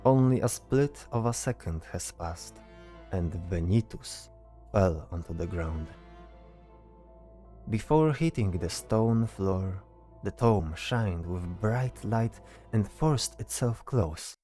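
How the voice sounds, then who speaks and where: Male narrator, scripted fantasy storytelling, mellow, unhurried, music behind. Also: fairly low pitch, lively intonation.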